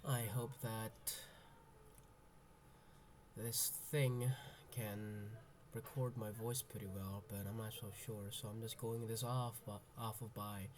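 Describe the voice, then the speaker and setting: Slow, halting young man. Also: on the low side, flat delivery, noisy background. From Indonesia. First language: Indonesian. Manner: hesitant, halting, full of ums and restarts; soft, searching, introspective. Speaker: college freshman. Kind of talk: personal venting monologue.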